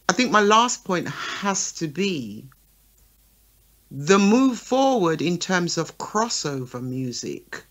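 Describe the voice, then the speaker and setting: Lively radio talk show woman. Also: on the low side, gravelly. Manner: lively, checks in with listeners by asking right and okay. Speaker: talk show co-host, promoter.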